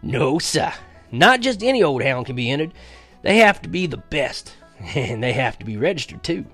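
Man acting an excitable old country grandpa, lifted pitch. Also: brisk, soft background music. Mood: excited. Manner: folksy, emphatic.

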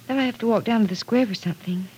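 An actress playing a wry, warm-hearted young woman in an old radio drama, chatty and gently teasing. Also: fairly high pitch, rapid-fire, close to an everyday voice. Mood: relaxed. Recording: noisy old radio recording.

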